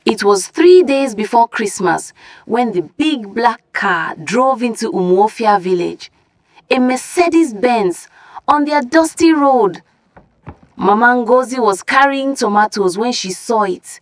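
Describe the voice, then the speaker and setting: Narradora de cuentos con voz algo aguda. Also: female voice, fairly high pitch, expressive, takes it slowly, dry recording. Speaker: storyteller. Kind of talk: scripted folktale narration.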